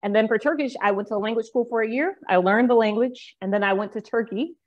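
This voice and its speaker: A woman's voice: on the high side, quick pace, muffled recording. Rambling woman book coach. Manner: rambling, many fillers and restarts. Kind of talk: casual interview chat.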